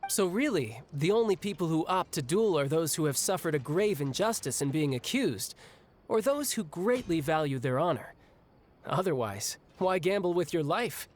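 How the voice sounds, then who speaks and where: An actor playing a young, restless, battle-hungry warrior in a video game, speaking in a breezy, reflective way. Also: male voice, fairly high pitch, animated, moderate pace, slight background noise, natural voice. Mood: explanatory. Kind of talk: video game cutscene acting. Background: game music.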